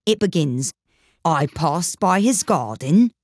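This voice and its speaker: An impatient, brusque Gryphon, as a woman reader puts him on, giving orders in a brisk, commanding way. The reading is close and dry, with no room sound. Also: on the low side, expressive, darkish tone, no echo.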